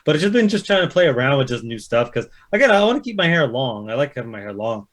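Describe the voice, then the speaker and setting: A man's voice: medium pitch, sing-song, quick pace, fairly bright tone. A man chatting casually, self-deprecating and full of swearing. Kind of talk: casual chat.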